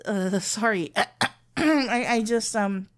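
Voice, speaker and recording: A woman playing a shy, tender florist best friend in love, talking haltingly and awkwardly in an intimate ASMR roleplay. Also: a little high. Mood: flustered. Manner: halting, stammering, awkwardly earnest. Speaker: audio roleplay performer.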